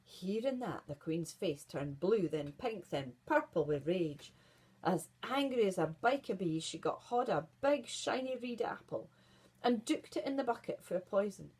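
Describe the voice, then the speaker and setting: A woman with a Scottish accent reading a children's fairy tale in Scots as an expressive storyteller. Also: medium pitch, a little slow, husky, poor audio.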